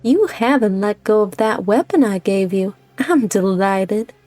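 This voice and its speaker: A woman voicing a composed, commanding mentor with a measured, coolly condescending manner in a game fan dub. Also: mid-range voice, animated, warm tone, no echo, lightly acted. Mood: composed, pleased. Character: composed, commanding female mentor testing her protégée.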